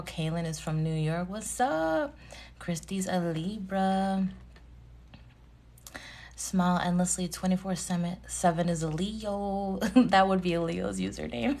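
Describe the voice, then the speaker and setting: A woman's voice: mid-range voice, a bit bright. A woman in her thirties hosting a casual live tarot stream, chatty, greeting viewers by name and laughing often. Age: 30s.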